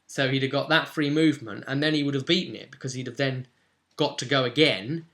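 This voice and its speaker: A man's voice: mid-range voice, a little fast, fairly bright tone. A man casually recounting a tabletop game session as game master, in a rambling, explanatory way with emphatic stresses. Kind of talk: casual unscripted game recap storytelling.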